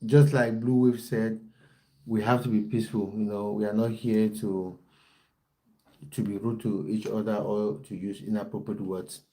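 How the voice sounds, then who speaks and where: Man, football coach hosting a livestream; mellow, relaxed tone, direct and repetitive with viewers. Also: on the low side, moderate pace, rough recording. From Cameroon. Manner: repeats phrases, talks straight to viewers. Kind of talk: livestream chat, reading out viewer comments.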